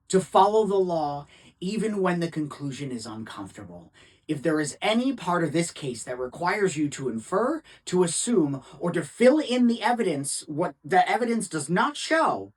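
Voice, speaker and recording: Man acting as impassioned defense lawyer, widely swinging pitch. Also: breathy edge, far from the mic, exaggerated voice. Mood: solemn, urgent. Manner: emphatic, deliberate rhetorical pauses and repetition. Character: impassioned male defense lawyer addressing a jury. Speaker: actor performing a monologue. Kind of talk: dramatic courtroom closing-argument monologue.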